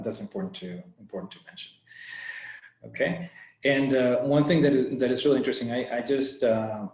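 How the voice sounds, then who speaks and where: A man's voice: lowish voice, a little mellow. Man, echoey room. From Costa Rica. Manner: friendly, conversational, often restarting phrases. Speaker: guest presenter. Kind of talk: informal webinar talk.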